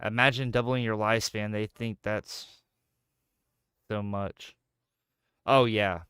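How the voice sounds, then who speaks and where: A man's voice: fairly low pitch, takes it slowly, smooth, no room sound, clean studio sound. A man in his thirties, a gaming streamer chatting casually with jokes, swearing and playful banter. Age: 30s. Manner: joking, profane, animated banter. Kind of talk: casual chat while gaming.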